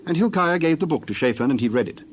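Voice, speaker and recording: A man's voice: a little high, animated, fast talker, a little hiss or hum, poor audio. A man giving a scripted narration of scripture as the narrator of a dramatized reading.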